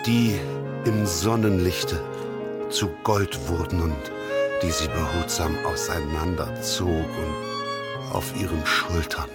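An actor playing a solemn storyteller, reciting florid literary prose with measured, deliberate phrasing in a radio play. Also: male voice, deep voice, steady tone, loud music behind the voice. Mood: measured, lyrical.

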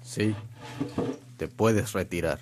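A man playing a middle-aged, authoritative father and landowner in a radio drama, his voice slightly husky. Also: low-pitched, takes it slowly, noisy background. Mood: calm. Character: stern but charitable Mexican father, landowner. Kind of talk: Spanish-language radio drama acting.

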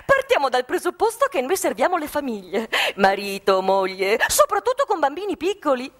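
A woman's voice: sits high, very animated, moderate pace, fairly bright tone. An actress playing a gleeful, zealous saleswoman with gushing, theatrical patter in a satirical radio play. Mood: persuasive. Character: gleeful, zealous saleswoman of armored panic rooms.

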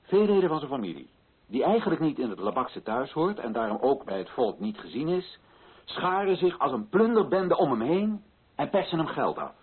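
A man's voice: medium pitch, very animated, normal speed, breathy edge. An actor playing an earnest, indignant colonial official in a radio play, pressing his points with insistent rhetorical questions. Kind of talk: Dutch radio play acting. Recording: muffled old radio recording.

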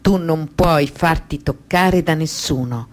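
An actress playing an anguished woman who survived abuse, in an intense, deliberate radio-drama monologue. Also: sits low. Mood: stern, slow.

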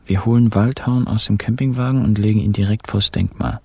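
A man's voice: lowish voice, fairly even intonation, brisk, vocal fry, noisy background. An actor playing a wry, irreverent man who tells a crime story in colloquial present tense for a radio play. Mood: wry storytelling. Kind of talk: colloquial first-person radio drama narration in present tense.

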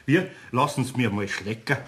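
A man's voice: mid-range voice, lively intonation, moderate pace, a bit bright, a little hiss or hum, close to an everyday voice. An actor playing a good-natured older Bavarian master craftsman in a radio play, speaking homely dialect, firm but kindly. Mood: reassuring. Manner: folksy, homely dialect talk. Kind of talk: German radio play acting.

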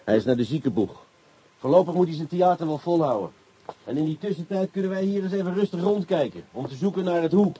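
A man's voice: on the high side, animated, quick pace, warm tone, slight background noise, close to an everyday voice. A man playing a driven, irritable and sarcastic police inspector in a radio drama, with a brusque, commanding delivery. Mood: explaining, determined. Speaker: actor.

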